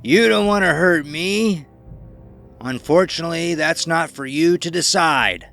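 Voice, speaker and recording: A man's voice: fairly high pitch, vocal fry, a bit bright, voice pushed up. A man voicing a sinister, smug elderly man with a bandaged face, drawling mocking lines in an audiobook, with faint music behind. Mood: menacing. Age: older. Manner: mocking, drawn-out, condescending. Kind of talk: audiobook character voice.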